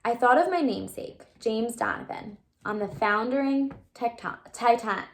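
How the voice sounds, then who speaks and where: A woman reading a children's novel aloud like a teacher, with animated storytelling and asides to explain or wonder. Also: fairly high pitch, moderate pace, a little hiss or hum. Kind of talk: expressive children's book read-aloud.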